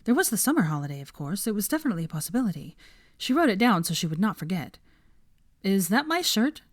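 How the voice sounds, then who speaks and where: Lively woman narrator reading fiction aloud. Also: fairly low pitch, very fast, dry recording, clean studio sound. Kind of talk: scripted fiction narration, audiobook-style reading.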